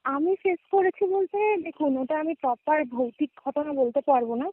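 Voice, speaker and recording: A woman's voice: sits high, normal speed, no echo. A young adult woman with a West Bengal Bengali accent tells a personal story casually as a caller, often checking in with her listener, over a narrow phone connection. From West Bengal, India. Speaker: guest caller. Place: remote call, dry sound. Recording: narrow tinny phone-call audio.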